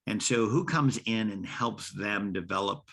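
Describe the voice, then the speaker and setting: A man's voice: on the low side, measured pace, vocal fry, a bit bright, slightly rough. A man hosting a podcast conversation, rambling with frequent restarts and repeated words as he builds his questions. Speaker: podcast host.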